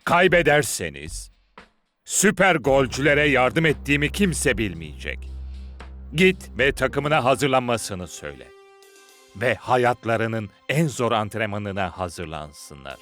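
A gruff, middle-aged ex-football star in a cartoon. He speaks slowly and sternly, with big swings in pitch and emphatic weight, over a music bed. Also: sing-song, a bit bright. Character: gruff reclusive retired football star and father, turned demanding trainer. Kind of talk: animated cartoon dub.

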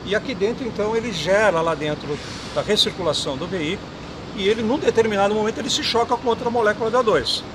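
A man's voice: high voice, fast talker. A man in his sixties explaining equipment technically outdoors, often tagging sentences with a checking question. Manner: explanatory, adds "tá?" tags. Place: outdoor parking lot. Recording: clear street noise behind.

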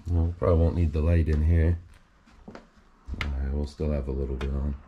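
A man's voice: rumbling low, fairly even intonation, normal speed, dull audio, a little hiss or hum. A man casually narrating as he walks through an abandoned house, admiring it and thinking aloud, recorded on a handheld camera. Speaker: urban explorer host. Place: indoors in an empty abandoned house.

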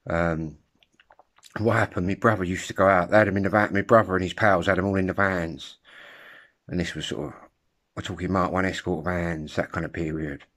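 Casting: English man, fifties, deep voice, informal and halting, rambling hobby vlogger talking into a phone at home. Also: steady tone, fairly bright tone. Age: 50s. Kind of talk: casual rambling vlog chat. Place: room at home. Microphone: phone at arm's length.

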